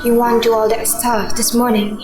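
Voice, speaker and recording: Caring wife acted by a woman, highish voice, gently nagging, clear music bed. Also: level tone, moderate pace, close to an everyday voice. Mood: concerned. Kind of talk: radio drama acting. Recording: echoey room with music.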